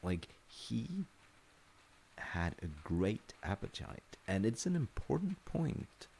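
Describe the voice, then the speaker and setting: A man playing a gentle, thoughtful dad, telling a folktale and chatting reflectively in a measured, intimate way with pauses. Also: lowish voice, big pitch swings, gravelly, slight background noise. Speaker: storyteller, audio roleplay voice actor playing a dad. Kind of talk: intimate improvised-sounding bedtime storytelling and chat.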